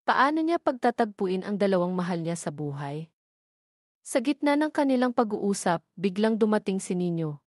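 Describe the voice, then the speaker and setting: A woman narrates a scripted romantic drama as its storyteller. Her voice sits at an ordinary pitch for a woman, in a close, dry recording. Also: sing-song, moderate pace, a little mellow, no room sound.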